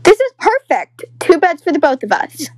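Woman as young camper girl, roleplay, excitable, easily frightened, high animated voice. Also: moderate pace, no echo. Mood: excited. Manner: pleading, breathlessly dramatic.

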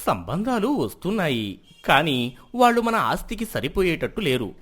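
A man's voice: fairly high pitch, very animated, normal speed, a bit bright. A man playing a proud, status-minded middle-aged merchant husband in a moral story, self-justifying and then conceding. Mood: defensive, proud.

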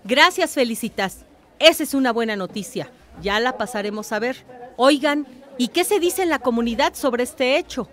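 A woman's voice: a little high, lively intonation, normal speed, lightly acted. An actress with a Mexican accent playing a welcoming village woman leading a meeting in a radio drama. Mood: welcoming, inquiring.

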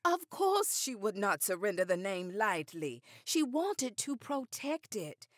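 A woman's voice: medium pitch, sing-song, unhurried, dry recording, studio quality. An actress playing a poised, formidable older activist lady of the 1910s with formal, precise diction in a radio drama. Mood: firm, measured. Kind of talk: period radio drama acting.

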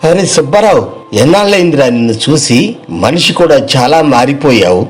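A man's voice: fairly high pitch, very animated, fairly quick, soft background music. A man voicing a wealthy, boastful businessman in a moral story, effusive and familiar, with theatrical false sympathy. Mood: effusive, proud. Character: boastful, two-faced wealthy businessman friend, feigning sympathy.

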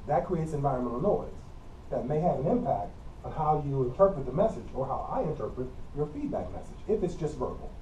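A man's voice: fairly high pitch, animated, fairly quick, warm tone, dull audio. A middle-aged man with a Midwestern accent giving a college communication lecture in an empty classroom, explaining plainly and repeating key points. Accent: Midwestern American. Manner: plain, repetitive teacherly explaining with frequent coughs. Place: empty classroom with whiteboard and air conditioner. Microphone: camera mic from across the room. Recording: roomy, distant camera audio with faint hum.

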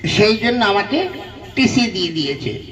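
A man's voice: sits high, sing-song, moderate pace, a bit bright, distant mic, soft background music. A man voicing a cheeky, precocious schoolboy puppet in a comic show, giggly and mock-polite. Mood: mock-innocent. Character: cheeky precocious sixth-grade schoolboy puppet, smug wisecracker.

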